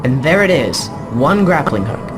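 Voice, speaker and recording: A resourceful young orphan in a video game. The voice is on the high side, earnest and bookish, with careful wording. Music plays loudly behind it. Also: male voice, sing-song, moderate pace. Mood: determined. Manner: precise, earnest, explanatory. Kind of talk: video game character acting.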